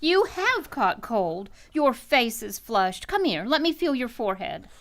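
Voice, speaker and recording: A woman's voice: a little high, sing-song, a little slow, fairly bright tone, heavily acted. A woman playing a firm, fussing housewife and mother in an old-time radio comedy, sounding concerned and insistent. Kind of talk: 1940s radio comedy acting.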